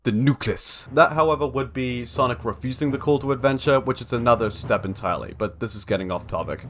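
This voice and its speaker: A man's voice: mid-range voice, brisk, faint music behind. A young man reading a scripted analytical narration for a video essay.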